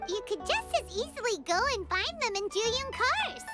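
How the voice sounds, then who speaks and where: A woman voicing a small, chirpy, childlike fairy companion in an anime game, with a squeaky voice. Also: very animated, normal speed. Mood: curious, chatty. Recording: loud game music behind voice.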